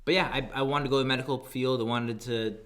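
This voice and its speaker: A man in his thirties, a personal trainer and coach, talking as a podcast guest in a relaxed, rambling way with many fillers. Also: mid-range voice, fast. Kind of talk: casual podcast chat.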